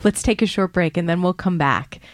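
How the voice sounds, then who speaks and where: Low-voiced woman radio host. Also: fairly quick, no echo. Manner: engaged, laughs along, prompts with short questions. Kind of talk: interview and program host.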